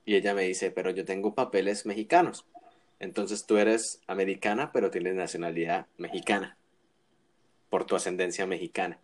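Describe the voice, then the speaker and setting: A man's voice: deep voice, fairly even intonation, moderate pace. A man co-hosting a casual wrestling chat, giving opinions with frequent restarts and tag questions. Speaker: wrestling podcast co-host.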